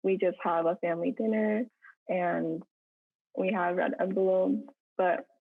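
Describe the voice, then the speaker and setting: A woman's voice: on the low side, fairly even intonation, a little slow, slightly rough. A young woman, a podcast co-host born in Hong Kong and raised in the United States, chatting casually with lots of fillers and little laughs. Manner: laughs between phrases, many fillers. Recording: tinny phone-like line.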